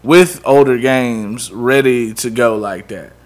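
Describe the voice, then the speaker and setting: A man's voice: mid-range voice, takes it slowly. Laid-back man hosting a podcast. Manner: laid-back, blunt, swears casually. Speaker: podcast host, runs gaming tournaments. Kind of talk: casual chat.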